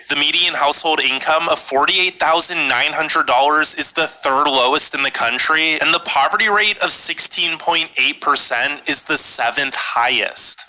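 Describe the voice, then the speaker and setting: A man's voice: a little high, bright, forceful tone, low-quality recording. A man reading a scripted ranking of states in a sarcastic, mocking tone. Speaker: narrator.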